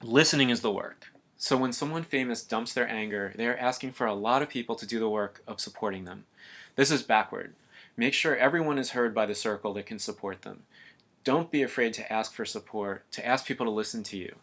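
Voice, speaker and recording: A man giving a calm, earnest scripted voice-over narration for an explainer video. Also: medium pitch, a little fast.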